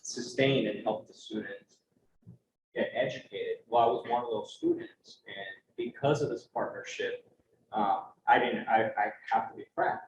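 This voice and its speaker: A man's voice: fairly low pitch, measured pace. Young adult man on a conference panel, earnest and conversational, recorded in a roomy space with slight hiss. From United States. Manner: earnest, conversational, frequent "right?" tag questions. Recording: roomy, dull, faint background noise.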